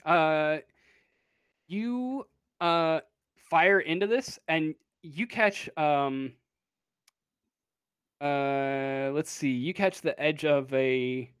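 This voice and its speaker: A game master improvising narration for a tabletop roleplaying game, pausing often to think. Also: medium pitch, fairly bright tone, clean tone, dry recording, clean studio sound.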